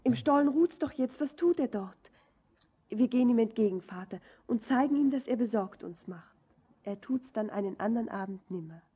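An actress playing an anxious, lovesick young daughter full of dread in a stylized radio drama, pleading in breathy, poetic phrases. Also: female voice, on the high side, animated, moderate pace, mellow. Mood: worried.

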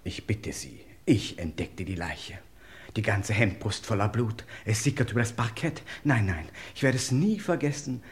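A man's voice: on the low side, lively intonation, moderate pace, lightly acted. A man playing a pompous, gossipy manor servant in a radio crime play, formal, deferential and dignified. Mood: confidential, grave. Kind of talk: old German radio play acting.

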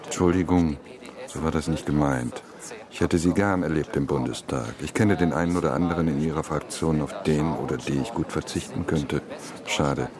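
Earnest journalist in a radio drama, really deep voice, low-key and probing, crowd murmur behind him. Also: male voice, normal speed. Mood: apologetic, regretful. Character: earnest young-looking male journalist, probing, then apologetic. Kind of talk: German radio crime drama acting.

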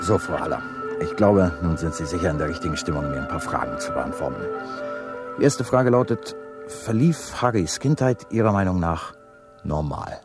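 A man's voice: sits low, lively intonation, moderate pace, over music. A man playing a slick, chatty radio talk show host in a satirical radio drama, with showy announcer phrasing. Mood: composed.

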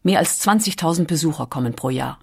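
A woman's voice: low voice, expressive, a little fast, vocal fry, darkish tone, breathy edge. A woman reads scripted documentary narration as the narrator.

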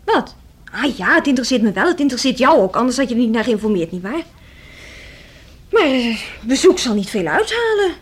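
A woman's voice: fairly high pitch, sing-song, a little fast, breathy edge. A woman playing a cheeky, restless young woman in a radio drama, with a teasing, theatrical manner. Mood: pointed, talkative.

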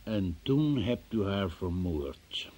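An actor playing a stern, cold examining magistrate in a radio play, questioning formally and relentlessly with a gravelly, husky voice. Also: male voice, deep voice, big pitch swings, darkish tone, heavily acted. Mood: grave, reproachful. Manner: formal, accusing, deliberate. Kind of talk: Dutch radio play interrogation acting.

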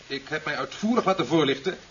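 An actor playing a resolute, stern president in a 1970s Dutch radio play, with a solemn, commanding, oratorical delivery. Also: male voice, fairly high pitch, expressive, fast talker, close to an everyday voice. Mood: firm. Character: stern, resolute male president addressing officials. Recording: dull, hissy 1970s radio recording.

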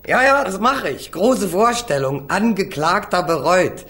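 A man's voice: sits high, big pitch swings, unhurried, fairly bright tone, full character voice. A man acting a defiant, street-tough young small-time crook in a German radio crime drama, flippant and slangy. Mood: mocking. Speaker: actor playing a defendant.

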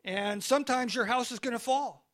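A man with a high voice preaches in American English, from California. He speaks in short, clipped phrases and leaves gaps for his interpreter. He often stumbles and restarts mid-sentence. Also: a bit bright. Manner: short phrases with pauses for translation, frequent restarts. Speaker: guest preacher. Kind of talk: sermon delivered through an interpreter.

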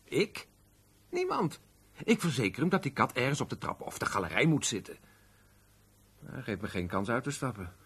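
A man's voice: mid-range voice, huge pitch swings, normal speed, long pauses, a bit bright, breathy edge, exaggerated voice. An actor playing a sullen, grumbling middle-aged tradesman husband in a naturalistic radio play, aggrieved and plain-spoken. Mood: indignant. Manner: grumbling, plain colloquial speech.

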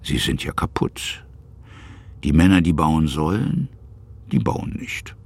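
A man with a gravelly, husky voice narrating a literary radio drama in short, weighty, dramatic phrases. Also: extremely low pitch, very animated, slow, exaggerated voice.

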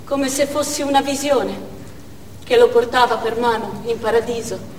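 An actress playing a grieving young Chechen war widow in a stage monologue, speaking in stark, halting short phrases. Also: female voice, on the high side, a little mellow, far from the mic, close to an everyday voice. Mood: numb grief. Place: theatre stage, distant microphone. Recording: echoey theatre hall from afar, noisy.

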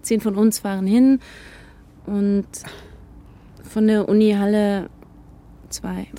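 A woman's voice: medium pitch, little pitch movement, takes it slowly, mellow, close to an everyday voice. A woman playing a young student in love in a naturalistic radio drama, playful and modest with a hesitant, teasing manner. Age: young adult.

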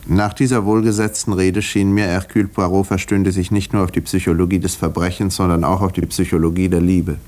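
An actor playing a composed, educated doctor in a 1950s German radio mystery, speaking in a measured, dry and precise way. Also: male voice, low voice, little pitch movement, a little mellow, natural voice. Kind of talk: 1950s German radio drama narration and dialogue.

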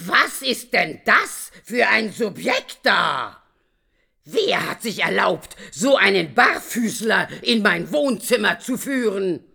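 Haughty elderly mistress of the house, radio drama, gravelly and scolding, slow, deliberate delivery. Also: female voice, on the high side, bright, forceful tone, exaggerated voice. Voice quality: raspy, creaky. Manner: imperious, scolding. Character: haughty, outraged elderly rich lady of the house. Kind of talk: German radio play acting.

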